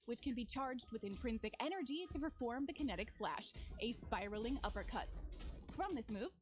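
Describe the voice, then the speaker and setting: A woman with a fairly high voice delivers scripted gameplay narration. She explains like a trailer narrator, with music playing underneath. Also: expressive, a little fast. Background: background music.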